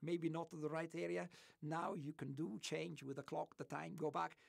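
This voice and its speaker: A man's voice: on the high side, little pitch movement, normal speed, vocal fry, breathy edge, no room sound. A man from Italy with an Italian accent, a guest on an interview show, talking enthusiastically and digressively, often breaking into laughter. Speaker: guest, remote sensing archaeology expert. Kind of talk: interview answers.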